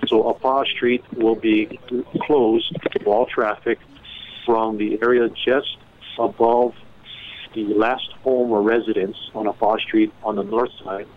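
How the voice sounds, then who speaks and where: A man giving a measured, halting update as an official at a media briefing, with many fillers and restarts. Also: on the low side, flat delivery. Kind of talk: informal media briefing on a conference call. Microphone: phone. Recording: tinny phone line with faint noise.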